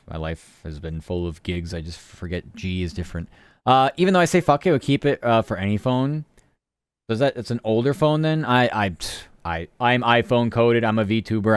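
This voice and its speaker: A man's voice: mid-range voice, clear, resonant tone, no room sound, clean studio sound. A man streaming live, chatting casually and rambling from topic to topic while answering his chat.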